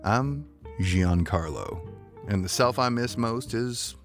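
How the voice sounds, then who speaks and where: A man's voice: mid-range voice, expressive, slow pace, soft background music. A man playing an earnest, courteous, hesitant ranch man in an audio drama romance. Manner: hesitant, earnest, laid-back.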